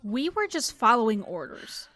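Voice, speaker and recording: An actress playing an anxious, indignant soldier in an audio drama. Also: female voice, on the high side, very animated, normal speed, pushed and bright. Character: indignant, anxious female soldier under orders.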